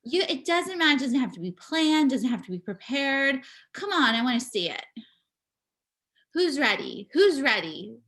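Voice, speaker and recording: A woman giving a live spoken interpretation of a signing performer, speaking in halting bursts with pauses and restarts, over a video call. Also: high voice, big pitch swings, a bit bright. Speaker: sign language voice interpreter.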